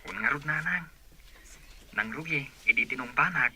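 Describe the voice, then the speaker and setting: A man's voice: medium pitch, animated, normal speed, bright, forceful tone, a little hiss or hum. An actor playing an eager grown Ilocano son confiding in his mother in a radio drama.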